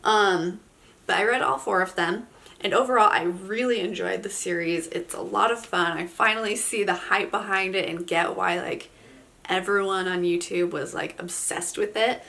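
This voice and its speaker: A woman's voice: medium pitch, fairly even intonation, normal speed, vocal fry, sharp tone. A woman giving a casual, chatty book review, enthusiastic and informal, sounding nasal from a head cold.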